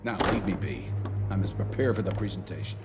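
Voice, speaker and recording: A man's voice: sits low, a little fast, loud music behind the voice, lightly acted. An actor playing a condescending, domineering male director who talks down to his son. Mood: imperious. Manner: condescending, imperious. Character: cold, domineering male director, middle-aged. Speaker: institute director.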